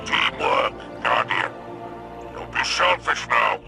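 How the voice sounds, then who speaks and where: A man's voice: mid-range voice, wildly swooping pitch, slow, vocal fry, over music, full character voice. A man voicing a greedy, wheedling alien crime boss in a video game, talking like a sly salesman. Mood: sly, coaxing. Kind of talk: video game cutscene acting.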